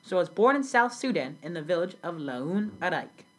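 Brief: teen girl as an immigrant storyteller, low and husky, earnest and scripted. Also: big pitch swings, fairly quick. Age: young teen. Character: grown immigrant recalling a South Sudan childhood. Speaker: student playing the interviewee. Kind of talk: school project role-play interview.

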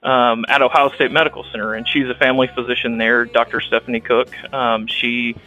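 Texan guy, bright sharp voice, kinda halting and restarting, faint music behind. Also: mid-range voice, little pitch movement, dull audio. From Texas. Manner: halting, with frequent restarts and ums. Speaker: guest, rural health clinic director. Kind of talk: casual interview, telling his life story.

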